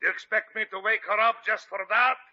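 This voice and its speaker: An actor playing a haughty, irritable baron with a pompous, indignant manner in a 1950s radio comedy. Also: male voice, high voice, fairly even intonation, brisk, pushed and bright. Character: haughty, irritable foreign baron on his honeymoon. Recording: dull old radio broadcast recording.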